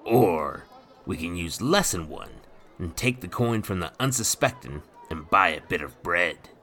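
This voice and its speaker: A man voicing a roguish, cocky male thief who talks in a gravelly, swaggering, ungrammatical like dialect. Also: low voice, lively intonation, a little slow, sharp tone, faint music behind, exaggerated voice.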